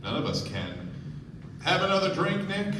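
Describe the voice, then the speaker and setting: A man's voice: sits high, very animated, moderate pace. An actor playing a domineering, hard-drinking rich man, bossy and emphatic, in a stage radio play. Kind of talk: live radio play acting. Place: theatre stage before an audience. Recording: echoey, noisy stage recording from far off.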